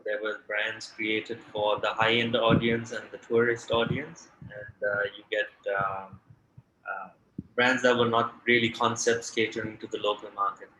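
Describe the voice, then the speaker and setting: A man from Sri Lanka in his late twenties or thirties, a restaurant founder answering interview questions in a relaxed way with many fillers. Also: mid-range voice, slight background noise. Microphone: video call. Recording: echoey room with faint ambience.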